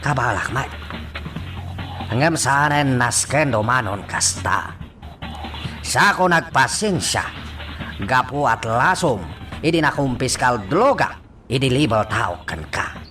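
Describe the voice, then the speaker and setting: A man's voice: medium pitch, expressive, slow, pauses often, distant mic, over music. A man acting as a scheming, menacing businessman in an Ilocano radio drama. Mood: smug, menacing.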